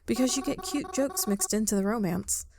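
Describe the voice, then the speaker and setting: A woman's voice: on the high side, moderate pace, gravelly, noisy background. A woman voicing a shy, bookish, easily flustered young woman in a visual novel game, hesitant and stammering. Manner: hesitant, soft-hearted, stammering.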